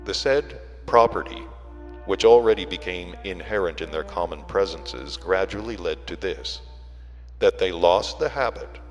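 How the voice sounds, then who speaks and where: A man reading a literary audiobook in a deliberate way, with frequent pauses between phrases. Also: deep voice. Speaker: audiobook reader. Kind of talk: scripted literary narration. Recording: reverberant hall sound with music.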